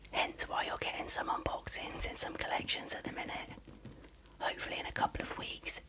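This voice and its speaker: A person speaking in a breathy, unvoiced whisper, softly and unhurriedly explaining things as an ASMR unboxing presenter. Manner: soft-spoken, unhurried, explanatory. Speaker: video presenter.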